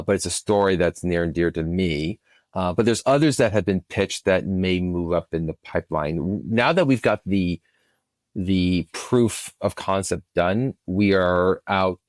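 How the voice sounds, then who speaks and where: A man's voice: sits low, darkish tone, dry recording. A man speaking casually as an interview guest, often repeating words as he thinks his way through an answer. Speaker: producer, podcast guest.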